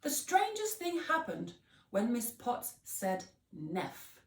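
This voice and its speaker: A woman reading a children's story aloud with expressive, theatrical emphasis on key words. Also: on the high side. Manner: theatrical, deliberate word emphasis. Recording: roomy echo, distant microphone.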